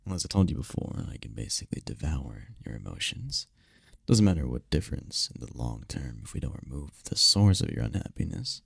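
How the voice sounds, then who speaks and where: Man voicing a deep, gravelly incubus boyfriend. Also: big pitch swings, no echo, clean studio sound, exaggerated voice. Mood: calm, comforting. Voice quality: breathy, gravelly low voice. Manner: soft-spoken, unhurried, breathy, soothing with playful teasing. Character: tender, teasing male incubus demon boyfriend. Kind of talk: intimate ASMR roleplay, comforting.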